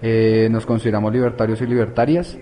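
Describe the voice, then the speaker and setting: Hesitant man, mellow voice. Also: fairly low pitch, flat delivery, brisk, slight background noise. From Colombia. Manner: hesitant, many fillers and restarts. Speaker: youth activist guest. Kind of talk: casual interview answers.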